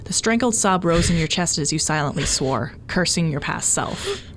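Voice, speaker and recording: A woman narrating fan fiction as an expressive scripted audio story. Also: deep voice, normal speed, slight background noise. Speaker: podfic narrator. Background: faint music.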